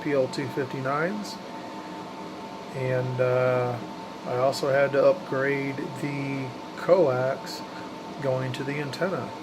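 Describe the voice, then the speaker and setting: A man giving a casual, unscripted walkthrough of his hobby setup in a plainspoken, slangy way. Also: mid-range voice. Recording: loud hum of fans and room noise.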